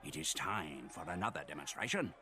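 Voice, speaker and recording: A man playing a proud, ruthless Mongol warrior, gravely narrating his horde's conquests as a scripted game voice-over. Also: low voice, animated, a little fast. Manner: grave, storytelling, relishing conquest. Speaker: campaign narrator. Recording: game cutscene voice over music. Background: music.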